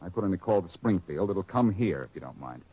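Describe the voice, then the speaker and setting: A deep-voiced, animated American sleuth from a 1940s radio drama. He is breezy, sardonic and hard to faze, heard through a dull, lo-fi vintage recording. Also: fast, breathy edge. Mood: wry, composed. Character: wisecracking, unflappable male detective and ex-con.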